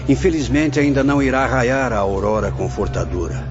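A man's voice: mid-range voice, normal speed, close to an everyday voice. An actor with a Brazilian accent playing a solemn, didactic male spirit mentor in a radio drama. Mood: solemn, compassionate. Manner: grave, didactic, formal. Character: solemn male spirit mentor guiding visitors through asylum. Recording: dull lo-fi audio under loud music.

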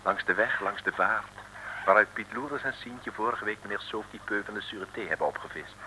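An actor as the wry, theatrical storyteller of an old radio adventure serial. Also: male voice, lowish voice, fairly quick, bright, forceful tone, slight background noise, poor audio. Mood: wry, suspenseful. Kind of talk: theatrical scripted narration, 1950s-60s radio.

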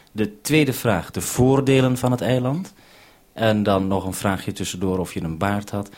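A Dutch radio producer speaking on a radio link, calm and orderly, repeating notes back before signing off. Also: male voice, on the low side. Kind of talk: radio call, businesslike. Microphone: radio transceiver.

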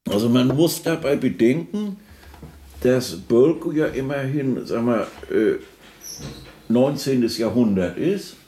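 A man giving spontaneous, searching commentary on a composer, halting and often checking in with a questioning tag. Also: medium pitch, expressive, mellow, slight background noise. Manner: halting, searching, tags remarks with a questioning particle. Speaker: commentator on a composer's life and work. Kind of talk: spontaneous musing commentary in a radio play.

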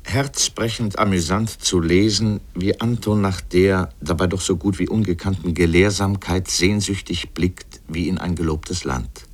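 Male commentator for a radio essay, deep register, dry irony, erudite scripted delivery. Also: fairly even intonation, unhurried, few pauses.

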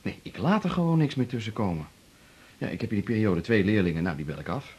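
A calm, husky musician father. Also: lowish voice, fast talker. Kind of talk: 1980s Dutch radio drama acting.

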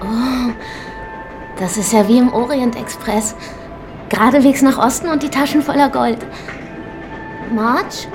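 An actress playing a naive, romantic young daughter in a radio play, wide-eyed and gushing. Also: female voice, a little high, unhurried, long pauses, music in the background. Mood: excited.

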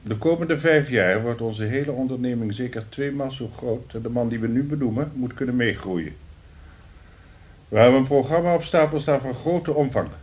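A man's voice: medium pitch, normal speed, a little mellow, lightly acted. An actor in a radio play as a blunt, sardonic male director, dry and commanding in a job interview. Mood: businesslike. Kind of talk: radio drama acting.

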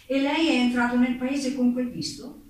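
A woman's voice: sits high, flat delivery, normal speed, darkish tone, lightly acted. A woman playing a committee's chief investigator in a staged reading, questioning in a formal, clipped, official way. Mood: official, probing. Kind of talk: staged dramatic reading of interrogation. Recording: distant mic, very echoey room, faint noise.

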